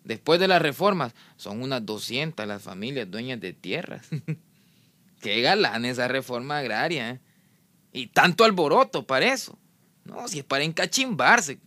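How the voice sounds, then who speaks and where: An actor playing a sardonic, aggrieved Salvadoran peasant with a Salvadoran accent, speaking with folksy irony and rhetorical questions in a radio drama. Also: male voice, medium pitch, sharp tone, dry recording.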